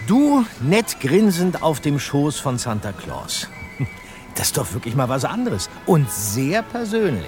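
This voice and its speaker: Teasing, very animated young detective. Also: male voice, on the high side. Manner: playful teasing, drawn-out emphasis. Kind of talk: German audio drama dialogue. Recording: music behind the voice.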